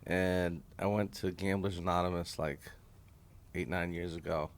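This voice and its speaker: A man's voice: low voice, fairly even intonation, measured pace. A comedian in his thirties, talking candidly in a hotel conference room and telling stories in a wry voice, with plenty of swearing. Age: 30s. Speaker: stand-up comedian guest. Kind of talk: casual chat, storytelling.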